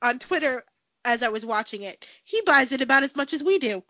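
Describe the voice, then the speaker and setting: A woman's voice: high voice, animated, brisk, pushed and bright, dry recording. A woman co-hosting a podcast in casual chat, sounding nasal from a cold and eager to argue. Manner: chatty, argumentative. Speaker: podcast co-host. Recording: dry, dull lo-fi sound.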